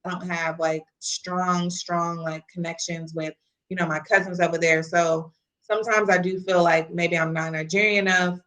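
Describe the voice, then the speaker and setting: Brief: young woman from the Midwest, podcast guest, earnest, lowish pitch, bright tone. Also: little pitch movement, normal speed. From Kansas City, US Midwest. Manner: earnest, reflective, frequent "you know" fillers. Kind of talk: casual conversational interview.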